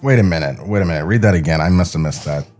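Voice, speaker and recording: A man's voice: low voice, rapid-fire, darkish tone, faint music behind. A man co-hosting a podcast with casual, comedic banter. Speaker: podcast co-host.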